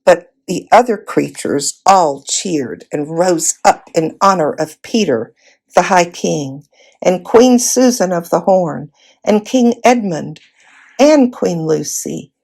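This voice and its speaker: A woman reading a children's fantasy novel aloud in an expressive storytelling style. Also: lowish voice, slow, creaky. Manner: acts out dialogue in character voices. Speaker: volunteer storybook reader.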